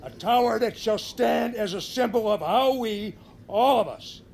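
High-pitched, grandiose little townsman. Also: unhurried, creaky, a little mellow, slight background noise. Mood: grandly earnest. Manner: lofty, solemn speechifying. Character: earnest, grandiose male townsfolk creature. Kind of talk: cartoonish audio comedy acting.

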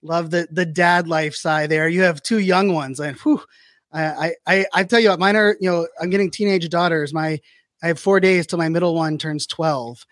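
40s guy hosting a podcast, high voice, chatty and upbeat, dry sound. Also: steady tone, normal speed, a bit bright, no room sound. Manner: chatty and upbeat, many fillers and restarts. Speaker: podcast host. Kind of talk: casual interview chat.

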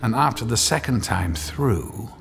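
An actor narrating a dark thriller as a scripted storyteller in an audio drama. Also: male voice, mid-range voice, lively intonation, unhurried, gravelly. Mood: ominous.

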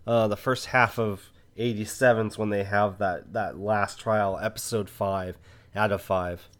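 A man's voice: lowish voice, steady tone, measured pace. A man hosting a movie podcast in a casual, enthusiastic, conversational way, often checking in with the listener. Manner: conversational, enthusiastic, punctuated with "right?" and "you know". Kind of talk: casual film review chat.

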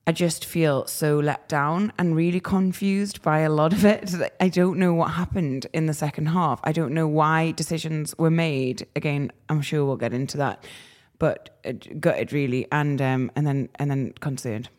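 A woman's voice: low-pitched, normal speed, a little mellow. A woman on a football podcast, speaking hesitantly with frequent ums. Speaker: podcast panelist.

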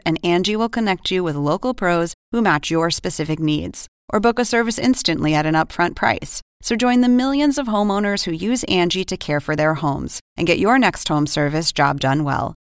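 Smooth, lowish female ad announcer. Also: no room sound, studio quality. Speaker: advertisement announcer. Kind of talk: scripted podcast ad read.

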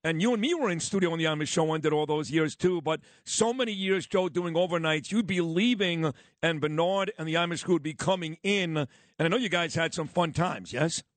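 A male radio host in the studio, a lively storyteller introducing his guest and reminiscing. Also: on the high side, brisk, no room sound. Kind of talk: morning radio talk.

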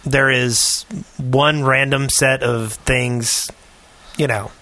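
A man's voice: mid-range voice, fairly even intonation, extremely slow, bright, forceful tone, dry recording, rough recording. A man co-hosting a podcast with casual banter. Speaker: podcast co-host.

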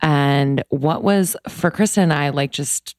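A woman co-hosting a podcast in casual chat, bubbly and full of quick asides. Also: sits low, dry recording, studio quality. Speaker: podcast co-host.

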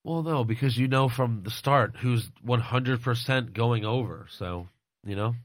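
A man's voice: mid-range voice, measured pace, no room sound. A man from the United States co-hosting a casual wrestling chat, blunt and argumentative, swearing and correcting his friend. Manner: argumentative, cursing, firm. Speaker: podcast co-host.

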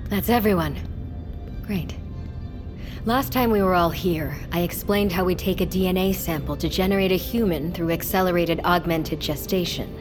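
A woman playing a composed, guarded scientist addressing a group in an audio drama, formal and deliberate. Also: fairly low pitch, over music, lightly acted. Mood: composed, solemn. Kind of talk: fiction podcast acting, speech to a group.

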